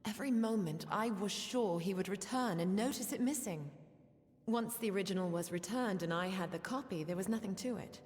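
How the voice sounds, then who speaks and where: An actress playing a quiet, guilt-ridden soldier in a fantasy video game, speaking earnestly and hesitantly. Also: female voice, mid-range voice, fairly quick, close to an everyday voice. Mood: remorseful. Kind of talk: video game character acting. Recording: long reverb, music behind.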